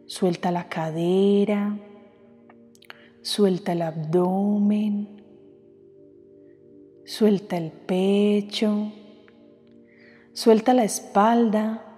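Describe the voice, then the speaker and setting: A woman leading a guided meditation, speaking gently and unhurriedly with pauses, as a soothing guide. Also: medium pitch. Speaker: meditation guide, podcast host. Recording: long reverb over music bed. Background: background music.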